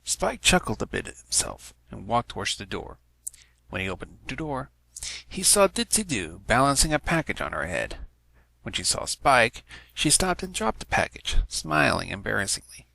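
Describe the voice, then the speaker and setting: A man's voice: medium pitch, wildly swooping pitch, normal speed, creaky, bright, forceful tone, no room sound. A man giving a scripted narration of a story as an amateur reader. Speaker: amateur fan fiction reader.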